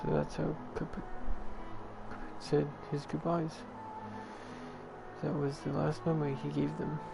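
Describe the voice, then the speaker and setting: A man's voice: on the high side, completely flat delivery, a little slow, gravelly, background noise. A man casually reading video game dialogue aloud in a subdued, hesitant way. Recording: game music behind voice.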